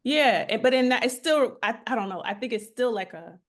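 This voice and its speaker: A woman's voice: fairly high pitch, lively intonation, fairly quick. A woman in a casual chat, playful and teasing, often restarting her sentences as she thinks.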